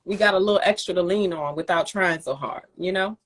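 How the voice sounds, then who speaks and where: A woman's voice: on the low side, brisk. A woman hosting a podcast in casual chat, encouraging and upbeat, with colloquial phrasing. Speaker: podcast host. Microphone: phone on a live social video stream.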